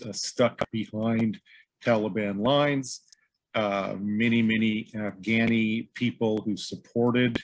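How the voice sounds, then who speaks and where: A middle-aged man hosting a podcast, reading a scripted intro and then speaking haltingly with many ums and uhs. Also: mid-range voice, no echo, low-quality recording. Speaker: podcast host. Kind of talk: scripted intro, then hesitant interview talk.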